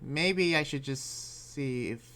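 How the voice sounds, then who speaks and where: A man's voice: mid-range voice, slow, a bit bright. A man playing an earnest, cautious young demon slayer in an improvised anime game roleplay, talking in a hesitant, rambling way. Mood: earnest, thoughtful. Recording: music playing behind voice.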